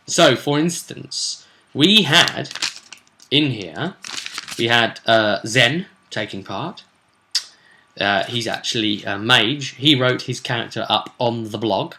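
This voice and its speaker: A man's voice: medium pitch, sharp tone. A man talking casually as a game master, recapping a tabletop session in a chatty, rambling, explanatory way. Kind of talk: casual vlog recap of a role-playing session.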